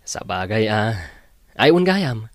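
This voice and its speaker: A man's voice: on the low side, very animated, slow pace, dry recording. A man in a radio drama, playing the husband and reading the closing announcement in a plain, blunt manner. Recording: music bed under the voice.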